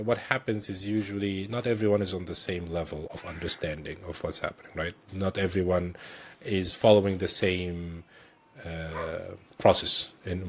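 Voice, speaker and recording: Low husky guy, guest on a podcast, talks it through slowly with lots of uhs. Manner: thoughtful, many fillers and restarts. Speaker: guest, technical director. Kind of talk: conversational explanation.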